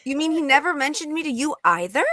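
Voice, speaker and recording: A woman's voice: high voice, expressive, fast, sharp tone, dry recording. Lady doing a flirty teasing archaeologist woman, very up and down, dry sound, table read. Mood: sly, confident. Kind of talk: live script table read.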